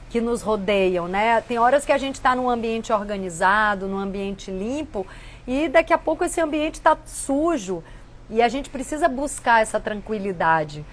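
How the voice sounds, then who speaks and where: Earnest woman in her fifties. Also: medium pitch. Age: 50s. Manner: warm, earnest, conversational with frequent "né". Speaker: host answering viewer questions. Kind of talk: casual reflective talk.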